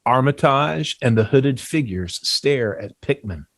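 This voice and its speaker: A man with a mid-pitched voice narrates horror stage directions. The sound is dry and close, with no room around the voice. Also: expressive, measured pace, fairly bright tone, no echo. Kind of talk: scripted narration of a horror screenplay.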